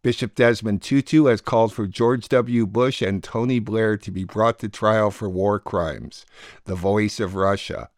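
A man's voice: fairly low pitch, a little slow, no room sound, studio quality. A man hosting a radio program, reading scripted introductions and announcements. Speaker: radio program host and producer.

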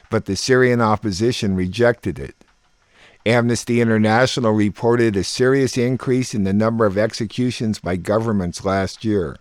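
Man, radio host, lower-pitched voice, dry recording, scripted news narration. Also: no echo.

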